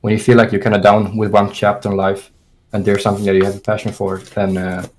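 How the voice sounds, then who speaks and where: A man's voice: on the low side, flat delivery, fast talker, darkish tone, slight background noise, rough recording. A young German-born man raised in Sweden, a fitness coach chatting casually, hesitant and restarting his sentences, with a German and Swedish accent. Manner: hesitant, restarting thoughts, talks it through. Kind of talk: casual podcast chat.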